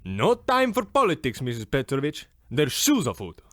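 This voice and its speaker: Man acting a grandiose blunt cobbler, very animated pitch, dry sound, pompous, pushy, radio comedy. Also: normal speed, no room sound. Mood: brisk, boastful. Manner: pompous, theatrical, swooping delivery. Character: haughty, blunt, grandiose shoemaker and pushy salesman.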